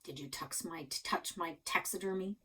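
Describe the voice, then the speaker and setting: A woman's voice: on the low side, moderate pace, sharp tone, husky. A woman voicing a stern old grandfather scolding his grandchildren in a storybook. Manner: scolding, commanding.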